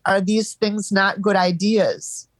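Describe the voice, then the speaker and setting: A woman in a live therapy session over a call, speaking haltingly and searching for words, stuffed up from crying earlier. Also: medium pitch, flat delivery, no room sound. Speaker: therapy client.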